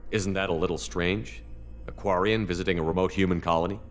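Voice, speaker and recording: A man's voice: low voice, steady tone, fast talker, faint music behind, close to an everyday voice. A man playing a resolute, protective military commander in a video game, speaking directly. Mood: businesslike. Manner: direct, questioning.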